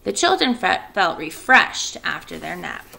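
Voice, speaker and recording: A woman's voice: on the low side, big pitch swings, a little slow, creaky, sharp tone. A woman speaking as an elementary school teacher, addressing and reading to children. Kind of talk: children's read-aloud and casual class announcements.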